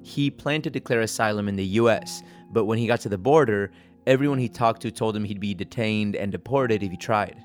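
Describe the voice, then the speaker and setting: A man's voice: fairly low pitch, a little fast. A man reporting and hosting in a scripted narration style. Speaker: podcast host and reporter. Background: music bed.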